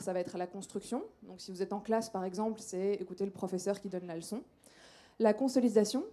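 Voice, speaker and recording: A woman's voice: medium pitch, dark tone. A woman from Belgium with a Belgian French accent, giving a public science talk and addressing the audience directly with everyday examples.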